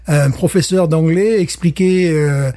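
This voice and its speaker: A man, a teacher and guest on a podcast, chatting casually, animated, with many restarts and acted-out little scenes. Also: on the high side, measured pace. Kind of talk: casual chat.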